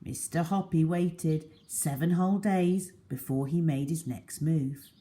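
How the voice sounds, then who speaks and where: A woman reading a children's story aloud as a storyteller, deliberate and dramatic in her delivery. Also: deep voice, steady tone, dark tone.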